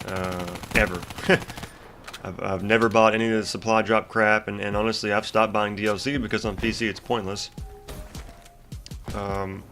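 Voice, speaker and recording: A young man with a stuffy, congested voice, pitched fairly low, rambling in a casual, frustrated rant full of ums and restarts. Faint music plays softly underneath him. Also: level tone, normal speed. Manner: rambling, frustrated ranting with frequent fillers and restarts. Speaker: gaming YouTuber commentating gameplay. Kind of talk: casual gameplay commentary.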